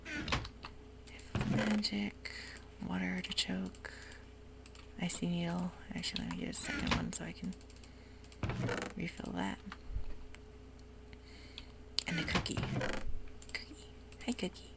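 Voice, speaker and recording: A woman whispering soft, hushed ASMR narration over gameplay at home, with frequent ums, as keyboard clicks sound behind her. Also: lowish voice, animated, takes it slowly, gravelly, long pauses, heavy background noise. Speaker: ASMR gaming creator.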